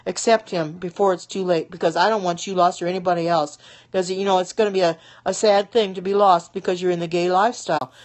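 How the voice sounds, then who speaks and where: Earnest older woman preaching into her phone. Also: fairly low pitch. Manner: earnest, pleading, repetitive with many restarts. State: getting hoarse. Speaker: lay preacher broadcasting live. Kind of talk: live-stream preaching. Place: small room indoors. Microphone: phone held below face.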